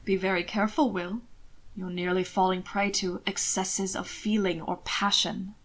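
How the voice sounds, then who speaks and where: A woman voicing a teasing, amused aristocratic gentleman in dry, formal period dialogue. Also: medium pitch, fairly even intonation, moderate pace, lightly acted. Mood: amused, goading. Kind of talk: fiction read aloud, character dialogue.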